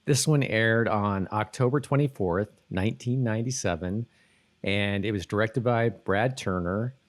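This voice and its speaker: Guy with a lowish voice hosting a casual podcast, kinda thinking out loud. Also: normal speed. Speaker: podcast co-host. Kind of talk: casual rewatch podcast chat.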